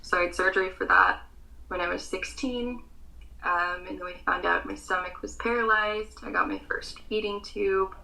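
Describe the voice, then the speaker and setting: A woman's voice: mid-range voice, fairly even intonation, normal speed, gravelly, pushed and bright, low-quality recording. A young American woman in her twenties with an American accent, a pageant contestant answering interview questions earnestly, with frequent ums.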